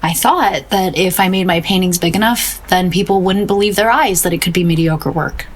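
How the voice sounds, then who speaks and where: A woman acting an intense female painter, slightly low voice, wry, self-doubting, earnest, audio drama. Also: moderate pace, close to an everyday voice. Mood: bitter self-doubt.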